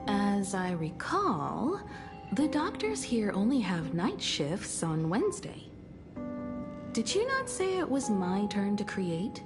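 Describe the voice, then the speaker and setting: A composed, mature woman who speaks slowly with wide, lilting swings of pitch. She is devoted, reassuring and faintly teasing, like an anime game companion, over clear background music. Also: wildly swooping pitch, exaggerated voice. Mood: cool, firm. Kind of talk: anime-style game voice acting.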